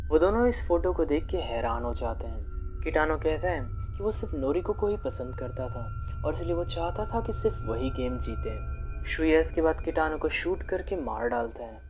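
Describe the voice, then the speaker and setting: A woman narrator delivers a scripted movie recap. Her voice sits low, yet moves around in a lively way. Faint music plays underneath.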